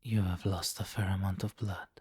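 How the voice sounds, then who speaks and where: A man playing a possessive, teasing vampire king in an intimate ASMR roleplay, commanding and breathy, often slipping into whispers on a close mic. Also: deep voice, flat delivery, normal speed, a little mellow, no echo. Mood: satisfied, teasing. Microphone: close microphone, dry.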